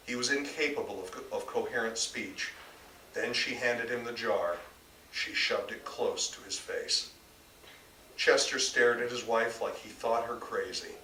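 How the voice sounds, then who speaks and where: A man's voice: lowish voice, pushed and bright. A man reading his own story aloud in a dramatic, emphatic storytelling manner. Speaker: author reading own work. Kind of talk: live literary reading, scripted narration. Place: bookstore reading event before an audience. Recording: distant mic, echoey room, faint noise.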